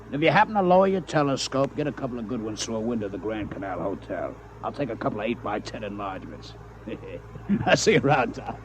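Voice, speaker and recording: An actor playing a brazen, smug gambling boss with mocking, slangy tough talk in a 1950s radio drama. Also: male voice, lowish voice, very animated, fast. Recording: dull, noisy vintage radio recording.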